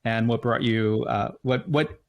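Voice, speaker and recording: A man's voice: mid-range voice, unhurried. Male podcast host, stumbling questions. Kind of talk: casual chat.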